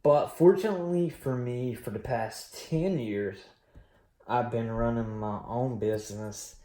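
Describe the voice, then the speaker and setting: A man in his late thirties telling his story on a coin collecting vlog, rambling and relaxed with many pauses and fillers. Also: medium pitch, echoey room. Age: late 30s. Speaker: coin collecting channel host. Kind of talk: casual personal storytelling while unboxing.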